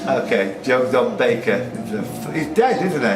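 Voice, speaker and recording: Animated male quizmaster in an echoey room. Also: mid-range voice, moderate pace, recorded from a distance. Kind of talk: reading quiz questions with casual banter. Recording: echoey room, off-mic, music behind.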